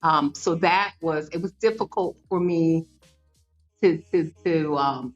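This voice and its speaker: A woman's voice: low-pitched, slow, dull audio, faint music behind. A woman in her fifties, a podcast guest, telling her story in an earnest, conversational way with frequent fillers and restarts. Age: 50s. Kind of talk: conversational interview.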